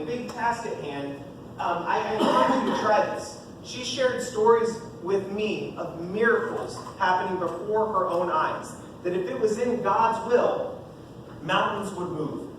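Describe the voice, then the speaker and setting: A booming, high-pitched man in his thirties speaks as a parish youth minister, joking and upbeat with the audience. His voice comes from well away from the microphone and rings around a very echoey room. A steady noise sits under it. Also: normal speed. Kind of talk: parish talk to parents. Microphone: no mic, heard from far off. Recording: echoey room from far off, noisy.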